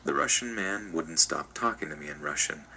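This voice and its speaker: A man reads a first-person story with an extremely deep voice. His tone is sharp and bright, and his delivery is even and measured. A faint hiss runs underneath the recording. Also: gravelly, poor audio. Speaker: story narrator.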